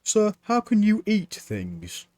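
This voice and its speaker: A man lifts his voice for a nervous teenage apprentice who stammers and trails off politely. The young lad sounds higher and eager, held to a slow storybook pace. The sound is close and dry. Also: huge pitch swings, darkish tone, no echo, heavily acted. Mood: hesitant. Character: nervous young male apprentice, earnest and timid. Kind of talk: audiobook character reading.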